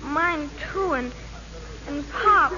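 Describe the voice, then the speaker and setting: An actor playing a gentle, loyal, bereaved young boy in a 1940s radio drama. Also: high-pitched, expressive, extremely slow, a crowd in the background, heavily acted. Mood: sad, consoling. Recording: dull vintage radio recording.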